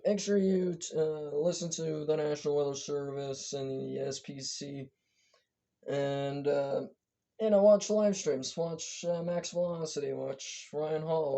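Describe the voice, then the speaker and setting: A hoarse speaker whose voice is giving out, giving a casual, rambling weather briefing as an amateur forecaster, stumbling and correcting themselves often. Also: mid-range voice, dark tone. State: hoarse, losing voice. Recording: dull lo-fi recording.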